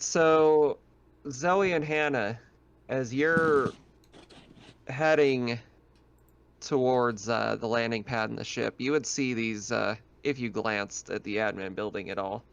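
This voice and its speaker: A man's voice: mid-range voice, clean tone, dull audio. A man narrating a tabletop roleplaying game as game master, explaining scenes in a conversational way.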